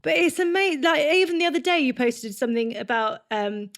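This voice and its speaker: A woman's voice: high-pitched, expressive, fairly quick, fairly bright tone. A woman hosting a casual podcast interview, chatty and enthusiastic, speaking into a desk mic over a video call. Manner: chatty, enthusiastic, friendly questioning. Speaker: podcast host. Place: home room, indoors. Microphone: headphones with a large desk mic, video call.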